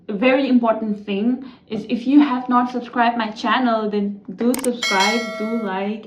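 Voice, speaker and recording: A woman's voice: medium pitch, a little mellow. A young woman from Nepal with a Nepali accent, a student vlogger explaining things in a loose, chatty way full of "like" and "you know". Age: young adult. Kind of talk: casual explainer vlog. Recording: roomy echo, slightly dull lo-fi sound.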